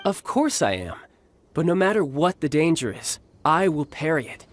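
A man's voice: a little high, big pitch swings, normal speed. A man playing a confident, protective young warrior and older brother in a video game, with a polished, theatrical delivery. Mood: earnest, resolute. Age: young adult. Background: game music.

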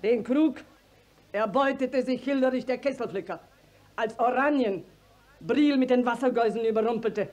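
A woman's voice: fairly high pitch, moderate pace, a little mellow, natural voice. An actress in a 1950s radio play voicing an indignant, long-winded village widow who declaims her complaint insistently and in relished detail. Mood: insistent storytelling.

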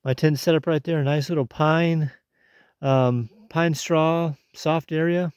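A man's voice: on the high side, steady tone, measured pace, darkish tone, clear, resonant tone, no echo, studio quality. A man in his forties vlogging casually from a wooded mountain trail, phone held at arm's length, talking easily. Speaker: thru-hiker vlogger. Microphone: phone camera at arm's length.

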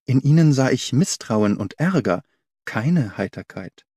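A man reading the part of an observant young governess who recounts a mystery, in measured, precise audiobook narration. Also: medium pitch, expressive, warm tone, husky, no room sound, clean studio sound. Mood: uneasy, determined. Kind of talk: first-person audiobook narration.

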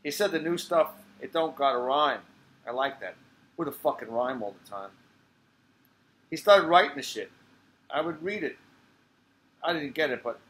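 A man's voice: mid-range voice, fairly bright tone, close to an everyday voice, low-quality recording. A man reading a stage monologue as a crude, uneducated old war veteran, rambling and swearing bluntly. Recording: clear background noise.

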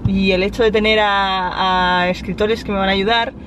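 A woman's voice: medium pitch, steady tone, normal speed. A young woman in her twenties with a Spanish accent from Spain, chatting bluntly and with animation in a vlog filmed inside a car, using slangy asides. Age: 20s. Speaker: YouTuber. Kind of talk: casual vlog chat. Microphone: camera mounted on the dashboard. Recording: dull audio with loud car noise.

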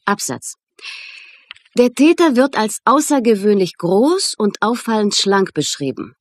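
An actress playing a brisk newspaper journalist in a German radio crime drama, dictating in a matter-of-fact, clearly articulated way. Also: female voice, medium pitch, lively intonation, no room sound, studio quality. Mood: brisk, businesslike.

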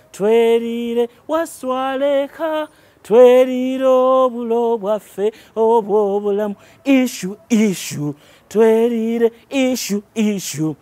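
A man voicing a flock of cheeky, hungry birds in a spoken folktale, in a high, wheedling sing-song. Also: mellow, breathy edge, dry recording, exaggerated voice.

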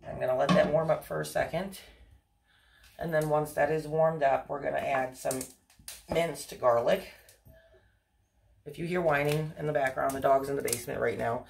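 A woman's voice: low voice, a little hiss or hum. A woman in her thirties chatting casually as she cooks at home, rambling with lots of ums and little apologies, recorded on a camera angled down at her stove in a home kitchen. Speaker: home cooking vlogger. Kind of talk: casual cook-along chat. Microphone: fixed camera angled down at the stove. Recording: clear frying sizzle in a home kitchen. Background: frying sizzle and stirring.

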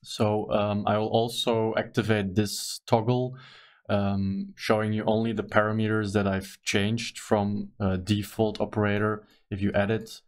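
Hesitant Belgian man explaining software. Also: fairly low pitch, flat delivery, a little slow. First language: not English. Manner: careful, hesitant explaining with many ums and uhs. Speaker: tutorial host. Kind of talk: screen-recorded software tutorial.

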